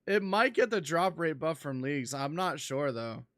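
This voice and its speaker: A man streaming a game live, chatting casually and swearing, his voice hoarse from losing it. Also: on the high side, normal speed, dry recording, studio quality. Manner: excitable, swears, laughs in disbelief. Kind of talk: casual live-stream chat while gaming.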